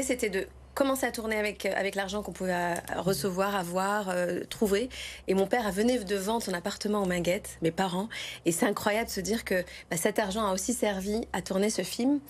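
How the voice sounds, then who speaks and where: A middle-aged woman from the Lyon region of France answers as an interview guest, speaking enthusiastically and repeating words for emphasis. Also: medium pitch. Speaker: interview guest, film director.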